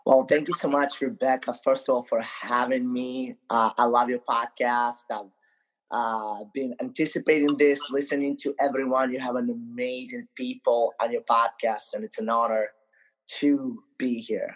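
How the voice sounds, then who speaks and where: A man with an Eastern European accent shares personal stories as a podcast guest. His pitch is mid-range, and he speaks casually, pausing often and doubling back on words. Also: steady tone, low-quality recording. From Ukraine, born in Moldova. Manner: halting, with frequent hesitations and repeated words. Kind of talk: casual personal storytelling in an interview.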